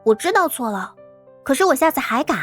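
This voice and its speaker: Woman voicing a high, childlike innocent rich girl. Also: sing-song, a little fast, soft background music. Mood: innocent, meek. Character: cute, innocent-acting rich young student girl, aloof, guileless. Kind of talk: audio drama dialogue.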